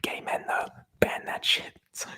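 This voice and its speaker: Whispered, very breathy, bright sibilant edge, ASMR reading, informal chatty presenter. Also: a little slow. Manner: chatty, informal, swears casually. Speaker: presenter reading aloud from a tabletop RPG book.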